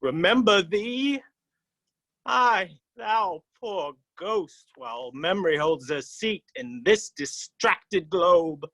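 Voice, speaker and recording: An actor playing an anguished, vengeful young prince in a Shakespearean soliloquy, declaiming intensely in broken phrases. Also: male voice, high-pitched, lively intonation, slow pace, fairly bright tone, no room sound, exaggerated voice. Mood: solemn, resolute. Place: remote reading over video call.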